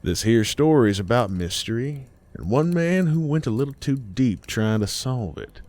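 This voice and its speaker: A man narrating a scary story in a folksy, colloquial way, theatrical and full of dramatic pauses. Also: medium pitch, animated, a little mellow.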